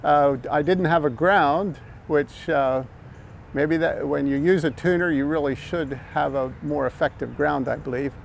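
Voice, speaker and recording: Gravelly older American man. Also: a little high, unhurried. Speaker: amateur radio operator and vlog host. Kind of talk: casual explanatory vlog talk. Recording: outdoor background noise.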